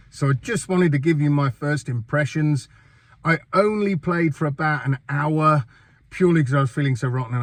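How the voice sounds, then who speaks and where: An older man hosting a gaming channel, talking through a casual review while under the weather with a cold, chatty and rambling. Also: mid-range voice. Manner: chatty and rambling, with fillers and repeated words. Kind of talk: casual first-impressions game review.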